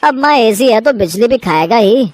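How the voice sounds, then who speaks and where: A woman's voice: on the high side, animated, normal speed, darkish tone, slight background noise, close to an everyday voice. A woman voicing a frustrated 25-year-old son in a cartoon story, pleading and exasperated. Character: young man of 25, frustrated son.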